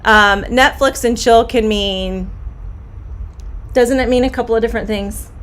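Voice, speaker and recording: A woman's voice: on the high side, fairly bright tone. Middle-aged Midwestern woman as an English teacher: friendly, explains patiently. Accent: Midwestern American. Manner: friendly, explains slowly and repeats phrases. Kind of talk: live-streamed idiom lesson.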